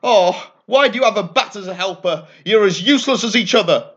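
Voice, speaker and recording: A man's voice: sits high, brisk, dull audio, voice pushed up. A man voicing an angry, exasperated female witch scolding in a children's storybook. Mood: furious.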